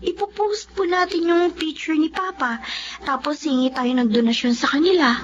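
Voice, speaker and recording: Actress as high-voiced, devoted Filipino daughter. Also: female voice, moderate pace, lightly acted. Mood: determined, pleading. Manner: gentle, coaxing, earnest. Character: devoted, tender young daughter caring for sick father. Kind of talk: Tagalog radio drama acting. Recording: muffled radio drama audio, faint music.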